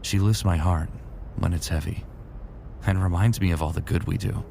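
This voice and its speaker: Deep, gravelly man playing a brooding space soldier. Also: steady tone, normal speed, mellow, slight background noise. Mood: reflective. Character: weary, remorseful male Titan space soldier and narrator. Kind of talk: first-person dramatic narration and dialogue, sci-fi audio drama.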